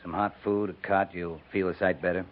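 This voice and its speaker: An actor playing a steady, weary US cavalry captain in a 1950s radio western, speaking in a calm, laconic, plain-spoken way. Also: male voice, sits low, fairly quick, natural voice. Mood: calm, reassuring. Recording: noisy, tinny 1950s radio recording.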